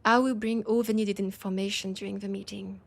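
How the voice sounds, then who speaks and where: A woman playing a proud but desperate vampire leader in an intimate audio drama, with a French accent and a halting, breathy delivery that slips toward whispers. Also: medium pitch, flat delivery. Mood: pleading, ashamed. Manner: hesitant, halting, breathy asides dropping into whispers.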